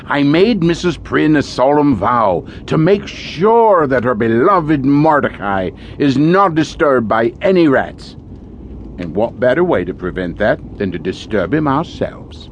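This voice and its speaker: An actor playing a sly, greedy, falsely pious gravedigger with a theatrical in an audio horror drama. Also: male voice, medium pitch, sing-song, a little slow, lo-fi sound, a little hiss or hum. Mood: sly, scheming.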